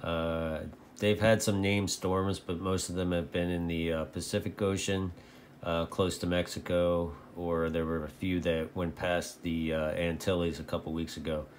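A man vlogging casually about his hobby in a folksy, halting, repetitive way. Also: deep voice, level tone. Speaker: video host, radio hobbyist. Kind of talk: casual rambling vlog talk. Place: indoor home radio shack. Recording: clearly noisy home recording.

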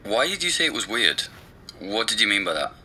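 A man's voice: on the low side, big pitch swings, a little fast, sharp tone, breathy edge, full character voice. An actor playing a laid-back man helping a podcaster with research, asking casual questions in a naturalistic audio drama. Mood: curious. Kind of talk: naturalistic audio drama dialogue over a call.

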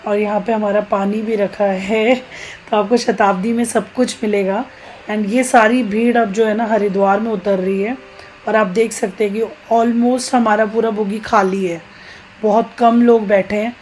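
A woman's voice: mid-range voice, a little mellow, slight background noise, poor audio. A woman in her thirties from Uttarakhand, India, narrating a casual vlog in a chatty way, talking directly to viewers. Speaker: travel vlogger.

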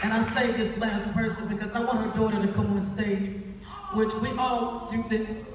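A woman's voice: fairly high pitch, flat delivery, normal speed, slight background noise. A middle-aged woman hosting on a theater stage, giving a live speech to introduce and thank a cast through a handheld microphone. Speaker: stage play director and host. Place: theater stage, indoors, filmed from the audience. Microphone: handheld microphone through PA, picked up by distant camera. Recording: echoey hall from far off, crowd and music.

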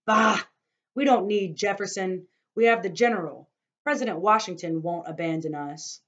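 A woman's voice: medium pitch, expressive, moderate pace, highs cut off, close to an everyday voice. A woman reading aloud, giving a fearful older man his lines in a grave, worried, lecturing way. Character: fearful older male townsman.

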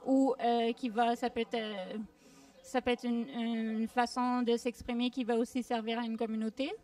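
A woman's voice: on the high side, a little mellow, distant mic. A woman thinking aloud and hesitating as she answers vox pop questions on a handheld mic. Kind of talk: casual street interview. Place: community workshop space indoors.

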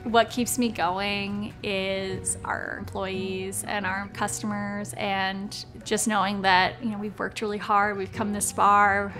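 Woman talking about her small business, conversational and earnest, doc interview style, music playing behind her. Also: medium pitch. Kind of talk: documentary interview voice-over. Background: background music.

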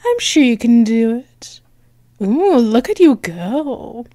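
A woman playing a flustered, bratty but affectionate cat-girl girlfriend in an ASMR roleplay, halting and teasing as she stammers. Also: a little high, very animated, warm tone, no room sound, clean studio sound. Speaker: audio roleplay performer.

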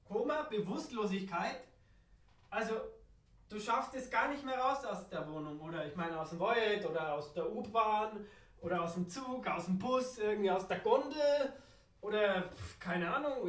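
A woman with a southern German or Austrian accent performing an improvised, absurdist spoken monologue with playful, rambling repetition. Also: mid-range voice. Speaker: radio play performer. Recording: roomy echo, distant microphone.

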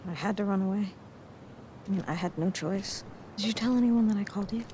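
A woman's voice: mid-range voice, fairly quick, warm tone, slight background noise, lightly acted. A North American woman in her twenties playing a determined, vulnerable fugitive in a cinematic game, with earnest, intense, natural delivery. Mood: guarded, curious. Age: 20s. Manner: earnest, intense, plain-spoken.

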